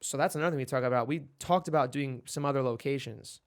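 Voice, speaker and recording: A man's voice: mid-range voice, quick pace. A man in his twenties co-hosting a podcast, talking casually and rambling with many restarts. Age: 20s. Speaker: podcast co-host. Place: in Los Angeles.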